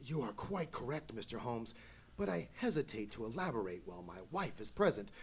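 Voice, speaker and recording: A man playing an anxious, courteous English lord in a live radio play, with a husky voice and formal, florid speech. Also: mid-range voice, big pitch swings, brisk. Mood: courteous, uneasy. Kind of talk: live old-time radio play acting.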